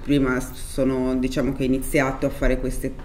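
Very low-voiced middle-aged woman. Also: completely flat delivery, normal speed, a little mellow, a little hiss or hum. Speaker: guest artist. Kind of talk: casual studio interview. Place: small glass-walled broadcast studio. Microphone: studio desk microphone.

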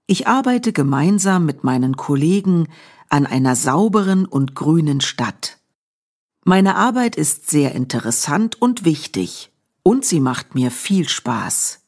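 Slow, low-voiced woman reading for learners. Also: a little mellow. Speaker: reader of a language-learning text.

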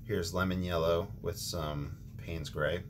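Low-voiced man teaching watercolor. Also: slow, background noise. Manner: relaxed, thinking aloud with ums and restarts. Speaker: watercolor tutorial host. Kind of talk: casual painting instruction.

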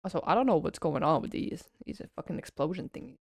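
A woman streamer giving casual, excited reaction commentary, gushing and swearing freely. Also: deep voice, quick pace, gravelly, slight background noise. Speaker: vtuber streamer. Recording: music behind the voice. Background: game trailer music.

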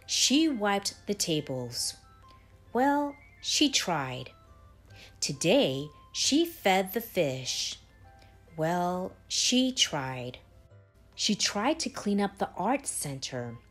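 A woman reading a children's story aloud in a deliberate, expressive way with dramatic pauses. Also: mid-range voice. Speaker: storybook reader, channel host. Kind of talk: children's read-aloud, scripted narration. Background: background music.

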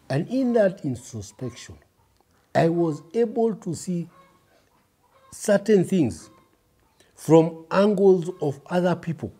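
The husky, mellow voice of a Kenyan man in his fifties, the guest on a testimony show who leans in to tell his life story and press each point home. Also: medium pitch. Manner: reflective, emphatic, addresses listeners directly. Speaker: interview guest. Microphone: lapel mic.

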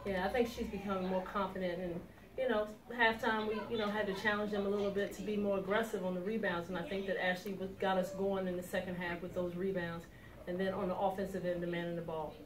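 A woman's voice: mid-range voice, little pitch movement, fast talker. A middle-aged woman head coach talking directly and confidently in a post-game interview, recorded in a small office. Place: small indoor office room with sofa. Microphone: far camera. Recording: roomy echo from a distant mic with crowd noise.

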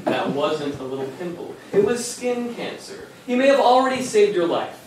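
Actor as a high, animated young grandson, very echoey. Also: male voice, normal speed. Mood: exasperated, patient. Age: young adult. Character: exasperated, dutiful young grandson. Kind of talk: live comic stage play acting and narration. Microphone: distant camera microphone in the audience. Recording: very echoey, distant, noisy stage recording.

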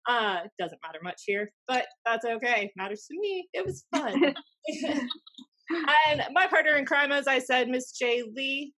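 A woman's voice: sits high, animated, unhurried, a bit bright. An American woman in her thirties chatting wryly as a podcast co-host into a desk microphone. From United States. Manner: snarky, wry. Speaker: podcast co-host, former wrestling announcer. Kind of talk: casual podcast banter. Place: small room indoors.

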